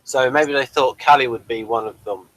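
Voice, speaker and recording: Male review host, middle register, casual and conversational, with halting delivery. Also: mid-range voice, fairly bright tone, poor audio.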